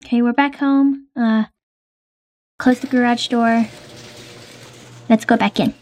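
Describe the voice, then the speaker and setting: A woman's voice: fairly high pitch, fairly even intonation, slow pace, a little mellow. A young woman hosting and narrating a game roleplay in a casual, hesitant way with many ums.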